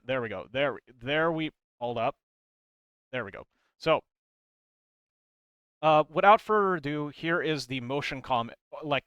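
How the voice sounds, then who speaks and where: A male video creator talks through his commentary in a casual, rambling way. His voice is clear and resonant, emphatic on key words, with a very dry sound. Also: mid-range voice, pushed and bright, no room sound. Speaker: video creator explaining game lore.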